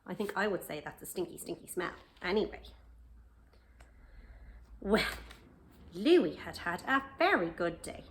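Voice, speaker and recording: Storytime reader: woman, highly animated pitch, expressive emphasis, questioning asides to kids, faint background noise. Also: rough recording. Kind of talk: children's picture-book read-aloud with asides to young listeners.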